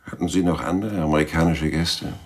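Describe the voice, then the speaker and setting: A man's voice: extremely low pitch, fairly even intonation, brisk, slightly rough, exaggerated voice. An actor playing a weary, cynical private detective who tells his own case dryly and laconically in a radio play. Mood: dry, probing. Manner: dry, laconic. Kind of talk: radio play narration and dialogue.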